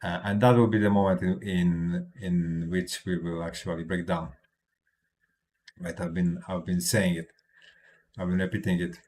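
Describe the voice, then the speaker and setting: A man speaking English as a second language, giving casual livestream commentary on market charts, halting with many restarts and a habitual "right?" and soft chuckles between points. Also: low voice, level tone. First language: not English. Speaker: crypto trading streamer.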